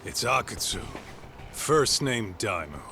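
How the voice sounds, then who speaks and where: A gruff, blunt ex-yakuza tough guy. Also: lowish voice, sing-song, slow pace, background noise. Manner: blunt, streetwise, dismissive. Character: gruff tough male ex-yakuza in his thirties. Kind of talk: video game voice acting. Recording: music playing behind. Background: music.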